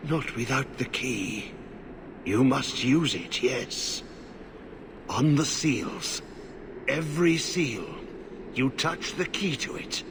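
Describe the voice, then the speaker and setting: A halting, creaky-voiced, half-mad old warden. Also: male voice, a little high, noisy background. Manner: halting, fragmented, repetitive muttering. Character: half-mad, blighted old male warden wandering an ancient prison. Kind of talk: dramatic video game acting.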